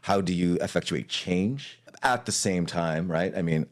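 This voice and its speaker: Very deep-voiced man, reflective guest. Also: a little slow. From Hawaii. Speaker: arts leader, guest. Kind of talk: casual interview talk.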